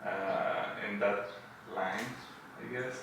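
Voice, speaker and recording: Echoey, slow-talking Argentine man. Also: fairly low pitch, steady tone, creaky. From Buenos Aires, Argentina. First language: Spanish. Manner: hesitant phrasing in non-native English. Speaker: podcast guest, tattoo artist. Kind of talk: casual interview chat. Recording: roomy echo, distant mic, faint noise.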